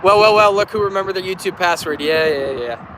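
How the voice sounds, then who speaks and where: A man's voice: high-pitched, fairly quick. A man recovering from a cold, livestreaming by phone from a bridge, chatting to viewers and often restarting and correcting himself. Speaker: railfan livestreamer. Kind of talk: casual livestream chat. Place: outdoors on a bridge by a busy highway. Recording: loud traffic and wind noise outdoors. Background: heavy traffic and wind.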